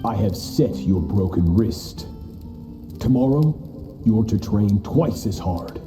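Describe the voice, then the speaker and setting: An actor playing a ruthless, battle-hungry sword master in a video game, speaking in a cold, haughty, grandiose manner. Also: male voice, on the low side, animated, slow pace, over music. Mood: stern, scornful. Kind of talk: dramatic video game dialogue.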